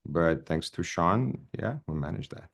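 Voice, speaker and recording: Deep-voiced man, Armenian first language. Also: unhurried, creaky. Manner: laughs often, animated storytelling. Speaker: actor, interview guest. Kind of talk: casual interview talk.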